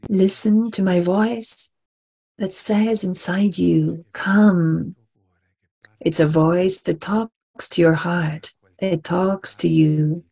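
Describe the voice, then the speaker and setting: A woman leading a guided relaxation meditation in a soft, breathy voice, soothing and deliberate, repeating phrases with long pauses. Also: on the low side, steady tone.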